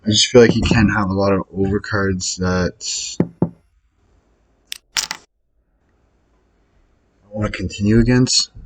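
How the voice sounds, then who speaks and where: A man's voice: on the low side, steady tone, slow pace, long pauses, low-quality recording. A man muttering his way through casual think-aloud commentary as he plays online poker, hesitant and questioning himself. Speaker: online poker player recording own session.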